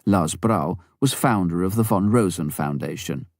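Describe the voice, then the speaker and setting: A man reading a memoir aloud as scripted narration, with measured, expressive storytelling delivery. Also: low voice, no room sound, studio quality. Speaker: audiobook narrator.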